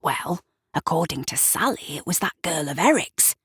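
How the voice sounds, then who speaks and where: A woman's voice: sits low, big pitch swings, moderate pace, a bit bright, breathy edge, dry recording, clean studio sound, exaggerated voice. A woman voicing a gossipy man in a story, with a conspiratorial, sardonic manner. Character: gossipy, wry man in the pub.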